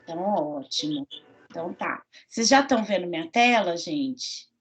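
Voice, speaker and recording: A woman's voice: lowish voice, animated, normal speed. A middle-aged woman with a Minas Gerais accent, a university professor giving an online lecture in a friendly way, full of fillers and tag questions. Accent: Minas Gerais Portuguese. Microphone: computer mic on a video call. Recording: dull lo-fi video call audio.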